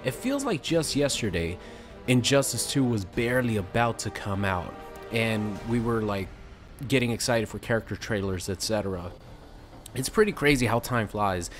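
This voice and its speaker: A young man chatting casually to his viewers about a fighting game, rambling with fillers, his voice slightly raspy from a sore throat. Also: on the low side, animated, moderate pace, soft background music. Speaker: gaming YouTuber. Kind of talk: casual chat to camera.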